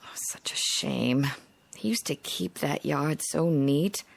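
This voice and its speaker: An actress playing a chatty, hospitable small-town housewife with a genteel, effusive manner in a radio drama. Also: female voice, low voice, slow pace, gravelly, exaggerated voice. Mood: neighborly. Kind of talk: naturalistic radio drama dialogue.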